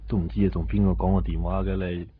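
A thin, phone-like recording of a male actor as a suspicious Hong Kong man in a radio drama. His lowish voice nags and scolds with sarcasm, over faint noise. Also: little pitch movement, fast, natural voice. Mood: accusing, sarcastic. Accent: Hong Kong Cantonese. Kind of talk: 1990s Cantonese radio drama acting.

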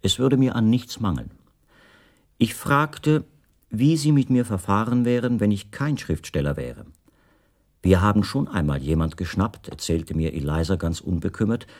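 A man playing a composed captive writer, narrating in the first person for a radio drama in a measured, precise way. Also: fairly low pitch, lively intonation, darkish tone. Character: captive male writer recounting his imprisonment, precise, composed.

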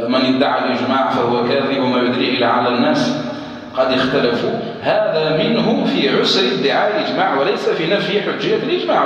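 A male sheikh teaching a lesson on legal theory, repeating key phrases and often asking whether it is clear. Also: medium pitch, fairly bright tone, dull audio. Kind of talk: classroom lecture. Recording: echoey hall, mic far off.

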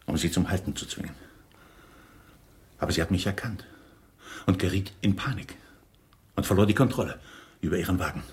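Deep voiced middle-aged uncle in german radio play, grave, pleading, dramatic confession type. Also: male voice. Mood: agitated confessing. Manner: deliberate, dramatic, insistent storytelling. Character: confessing older uncle, grave, self-justifying yet pleading.

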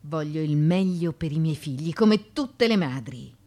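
A woman's voice: low-pitched, expressive, unhurried, dark tone. An actress playing a proud, defiant middle-aged former courtesan and mother in ancient Greece, emphatic and insistent, in a theatrical radio drama.

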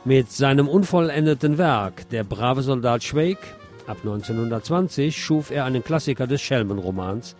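A man hosts a radio programme, reading a scripted feature. His voice sits at a medium pitch. Music plays behind him. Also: lively intonation, normal speed, darkish tone. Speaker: radio host.

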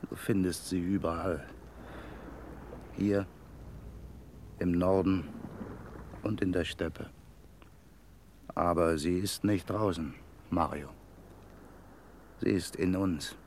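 Slow, low-voiced wandering vagabond. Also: male voice, steady tone, a little mellow, a little hiss or hum. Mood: reflective. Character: wandering vagabond Maurizio, reflective, warm to an old friend. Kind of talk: German radio play acting.

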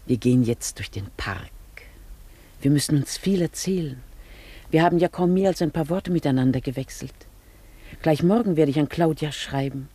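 A woman's voice: low-pitched, animated, normal speed, dark tone, breathy edge. An actress playing a genteel upper-class married lady in a German radio drama, composed and formal in manner, holding on to an elegant past. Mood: wistful, dreamy.

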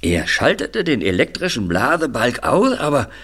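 A man playing an affable, hospitable older man in an audio drama, speaking deliberately with hesitations. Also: medium pitch, huge pitch swings, a bit bright. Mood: reflective, grave. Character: affable older man, scarred retired film manager breeding budgies. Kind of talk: audio drama dialogue acting.

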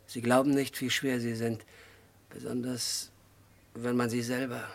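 An actor in a German radio crime play, playing an unhinged, childlike murderer who confesses in halting, disjointed sentences. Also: male voice, medium pitch, little pitch movement, creaky. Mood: dazed, confessing. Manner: halting, disjointed short sentences, swinging from hushed to agitated outbursts. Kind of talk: mid-century German radio crime drama acting.

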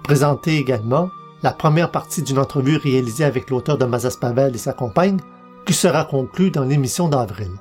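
A man's voice: medium pitch, darkish tone. Québécois man presenting, music behind him. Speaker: podcast host. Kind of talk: scripted narration.

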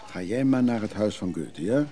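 An actor in a Dutch radio play voicing an evasive, soothing antiques buyer, speaking conversationally and a little hesitantly. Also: male voice, on the low side, expressive, normal speed, mellow. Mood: irritated. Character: Freek, evasive, soothing middle-class antiques buyer and brother.

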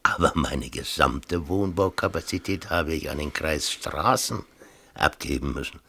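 An actor in a 1970s East German radio play, playing a harried but jovial middle-aged local official who talks colloquially and hesitantly, with many tag questions. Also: male voice, rumbling low, unhurried, gravelly, sharp tone, exaggerated voice. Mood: matter-of-fact.

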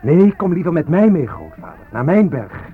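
Defiant young student, phone-like radio sound. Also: male voice, a little high, big pitch swings, moderate pace, husky. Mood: insistent. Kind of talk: Dutch radio drama acting, declaimed monologue and dialogue. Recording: phone-like old radio play, music behind.

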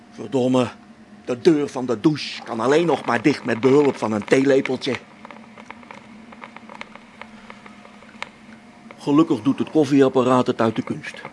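A man's voice: medium pitch, steady tone, normal speed, a little hiss or hum, natural voice. An actor playing a grumbling old-school test pilot and father talking to himself in a spaceship radio drama. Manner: muttering to himself, grumbling, chuckling.

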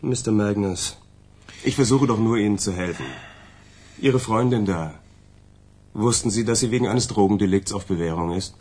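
An actor playing a smug federal agent in a radio crime drama, insinuating and coolly menacing. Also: male voice, lowish voice, normal speed, long pauses, darkish tone, breathy edge.

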